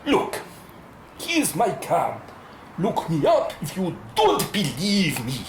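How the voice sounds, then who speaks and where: A woman's voice: on the low side, huge pitch swings, takes it slowly, full character voice. A woman playing a haughty, formal foreign scientist with a sinister streak, theatrical and grandiose, in a radio play. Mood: affronted. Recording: roomy echo, music underneath.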